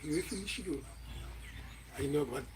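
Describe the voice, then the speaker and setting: A man's voice: a little high, wildly swooping pitch, slow, creaky, slight background noise. A man with a Caribbean accent chatting casually with his neighbor. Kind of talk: casual chat.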